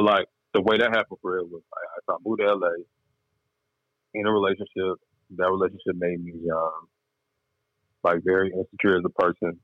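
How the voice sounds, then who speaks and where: A man's voice: sits low, no room sound, phone-quality sound. A man guest on a chat show, telling his story candidly in laid-back slang. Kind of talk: casual interview chat.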